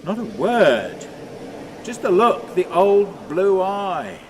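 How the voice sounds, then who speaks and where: An actor playing a haunted, tormented older man in a radio play monologue, speaking in halting fragments that move between hush and anguish. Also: sits high, big pitch swings, a little hiss or hum, heavily acted. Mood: pleading, agitated. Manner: halting, fragmented, swinging from hushed to anguished cries.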